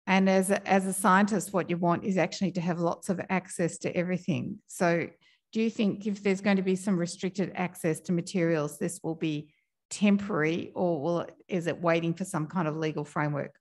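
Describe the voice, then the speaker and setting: A woman chairing a conference session, introducing speakers informally with frequent fillers. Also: fairly low pitch. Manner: informal, hesitant, many fillers. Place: conference room.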